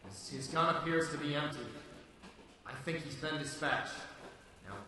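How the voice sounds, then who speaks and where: A man's voice: fairly high pitch, steady tone, moderate pace, pushed and bright, a little hiss or hum. A man playing a solemn, composed ghostly avenger in an old-time radio drama, with a heavy echo on the voice. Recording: echoey hall, distant, faint music.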